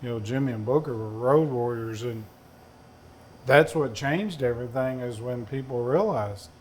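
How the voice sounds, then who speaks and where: Southern man in panel chat, easygoing stories at a medium pitch, faint hum behind. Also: normal speed. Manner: folksy, rambling anecdotes. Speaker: distiller on a panel. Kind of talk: casual storytelling on a panel.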